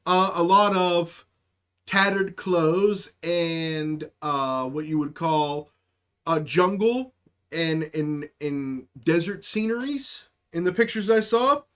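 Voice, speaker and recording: Casting: casual streamer comedian, mid-pitched, improvising slangy, profane stories and acting out the characters. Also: takes it slowly, a bit bright. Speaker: live stream comedy host. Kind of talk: casual improvised comedy storytelling to a live chat.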